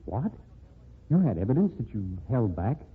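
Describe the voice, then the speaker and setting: A man's voice: medium pitch, big pitch swings, normal speed, husky. An actor playing a wary, bitter man who came back from the dead, in an old radio drama. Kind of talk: 1940s radio drama acting. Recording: tinny lo-fi old radio broadcast.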